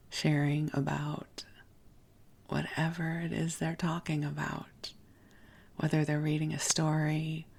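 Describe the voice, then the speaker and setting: A podcast host speaks in a soft, intimate bedtime register at a medium pitch with very little rise and fall. The delivery is slow and soothing, often slipping toward a whisper. The voice is breathy and husky, gentle throughout.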